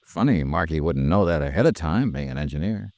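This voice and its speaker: A cool, persistent American investigator in a vintage radio crime drama. His low voice swings widely in pitch as he probes in clipped, wry phrases. The restored 1950s recording is close and dry. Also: very animated, fairly quick, gravelly, a little mellow, no room sound, exaggerated voice. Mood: probing, skeptical. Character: shrewd, persistent male insurance investigator, hard-boiled and cool. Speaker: radio drama lead and narrator.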